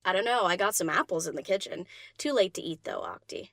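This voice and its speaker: A woman's voice: on the low side, big pitch swings, brisk, vocal fry, fairly bright tone, dry recording, studio quality, exaggerated voice. A woman voicing an easygoing young-spirited DJ pony in a fan audiobook, casual, slangy and teasing. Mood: sleepy teasing. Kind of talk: fan audiobook character acting.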